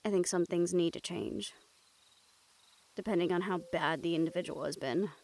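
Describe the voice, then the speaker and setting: Low, creaky, weary young woman, acted out. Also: moderate pace, darkish tone, close to an everyday voice. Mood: troubled, tired. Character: remorseful, weary young woman with dangerous newfound powers. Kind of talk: intimate audio roleplay acting, addressed to listener.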